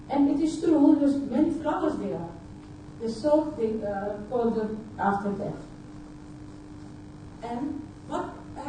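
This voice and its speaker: A woman from Suriname whose first language is Dutch speaks at a conference in a fairly high, mellow voice. She talks haltingly and often repeats her words. She sounds far from the mic in a very echoey hall. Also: a little hiss or hum. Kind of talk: personal talk at a conference.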